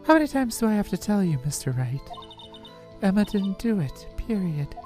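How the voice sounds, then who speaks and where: Woman playing resigned female chief prosecutor, mellow dark tone, stiff formal speech, video game, music behind. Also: medium pitch, very animated, moderate pace, natural voice. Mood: insistent, grave. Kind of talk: amateur video game voice acting.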